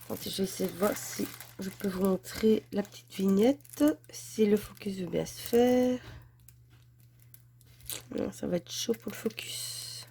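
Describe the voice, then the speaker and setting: A woman's voice: medium pitch, steady tone, measured pace, gravelly, dark tone, slight background noise. A woman with a head cold, chatting casually and gushing through a product unboxing, with many fillers. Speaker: crafts channel host.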